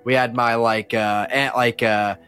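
A man's voice: medium pitch, little pitch movement, unhurried, a bit bright, clear, resonant tone, soft background music. A man chatting casually as a podcast guest, telling stories about his life as a musician in a slangy, rambling way with frequent false starts.

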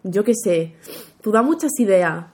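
A woman's voice: medium pitch, expressive, a little slow, darkish tone. A young woman co-hosting a casual podcast, playful and teasing, often breaking into giggles. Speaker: podcast co-host.

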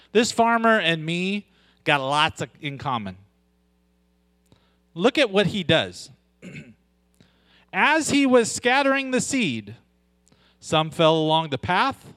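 High-voiced, unhurried male preacher. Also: dry recording. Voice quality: loud voice. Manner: conversational preaching, joking asides, slows down to stress scripture. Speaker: pastor. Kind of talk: sermon.

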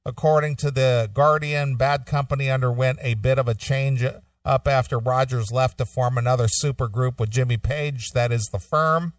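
A man's voice: medium pitch, steady tone, normal speed, few pauses, no echo, studio quality, recorded from a distance. A man co-hosting a casual talk show, reading out news items and commenting in an informal, joking way. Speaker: show co-host.

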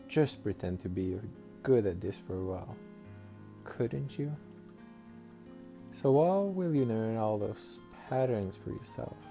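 A man guiding a listener into hypnotic trance in a slow, soothing, suggestive way, over faint music. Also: on the low side, warm tone. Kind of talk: guided hypnosis session.

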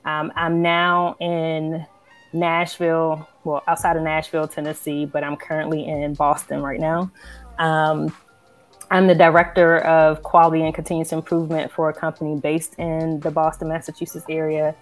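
Low-voiced woman on a video call. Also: normal speed, faint music behind. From Maryland. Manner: relaxed, slightly hesitant, with many ums. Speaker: guest. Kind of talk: casual introduction.

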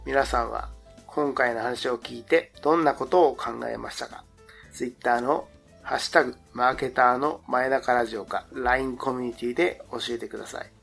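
Male podcast host, creaky voice, casual analytical marketing chat, slangy, music behind. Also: medium pitch, steady tone, normal speed, a bit bright.

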